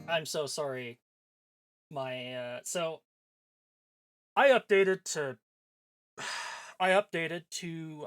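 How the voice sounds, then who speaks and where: A man chatting casually as a livestream host, sarcastic and self-deprecating, with some swearing. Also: a little high. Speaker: live streamer hosting visual novel previews. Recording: voice over background music.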